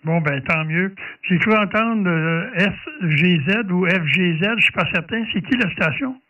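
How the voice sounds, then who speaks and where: A thin, distant radio-band sound frames a man with a slightly high voice and a Quebec French accent. He chats casually as a ham operator, pausing often and saying things twice. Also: highs cut off, a little hiss or hum.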